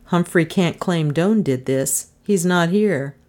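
A restrained man played by a woman narrator in a lowish voice. He speaks dryly and calmly, keeping subdued in a suspense storybook. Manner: slow, dry, subdued. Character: calm, dry, restrained man under pressure.

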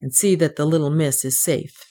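A gruff village policeman from a storybook, performed by a woman reader. The voice drops low and weighs each thing with doubtful, fair-minded firmness. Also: mellow. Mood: firm. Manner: gruff, deliberate.

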